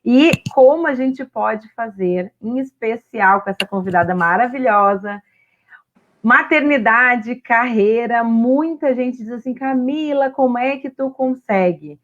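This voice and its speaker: A woman's voice: fairly high pitch, a little slow, a bit bright, slight background noise. Brazilian woman hosting, slightly dull audio. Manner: conversational, frequent tag questions. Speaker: host of an online women's talk series. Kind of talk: casual livestream interview talk.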